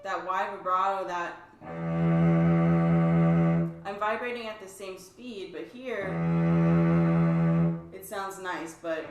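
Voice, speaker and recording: A woman's voice: mid-range voice, takes it slowly, long pauses, over loud music. A woman co-hosting a casual chat, explaining string technique in an easygoing way. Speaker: co-host, string teacher. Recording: echoey room, distant mic.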